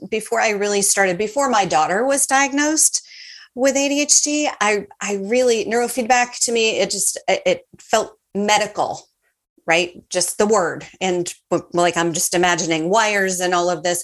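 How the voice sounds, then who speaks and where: A woman's voice: mid-range voice, moderate pace. A woman hosting an interview podcast, enthusiastic and full of praise, often restarting her sentences. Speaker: podcast host. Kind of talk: warm interview chat.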